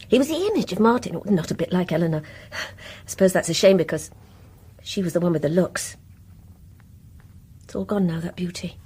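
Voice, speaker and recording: A woman's voice: fairly low pitch, dark tone, breathy edge. An actress playing a lonely, dreamy, troubled married woman in a radio drama, with a British accent and a confessional, sighing manner. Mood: nostalgic, sad.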